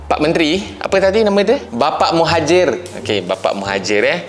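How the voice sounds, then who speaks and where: A young Malaysian man with a Malaysian Malay accent, giving a casual, sarcastic commentary vlog. He mocks, mutters low, then jumps up to high exclaims. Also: big pitch swings, a little fast, soft background music. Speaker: YouTube commentator.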